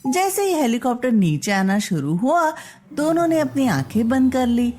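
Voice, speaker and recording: A woman reading a scripted story as a narrator, with expressive, deliberate delivery. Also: high voice, slight background noise. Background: background music.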